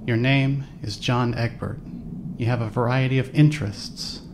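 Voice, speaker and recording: A man's voice: mid-range voice, steady tone, normal speed, background noise. A man reading scripted second-person story narration for a fan audio drama. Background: clear music.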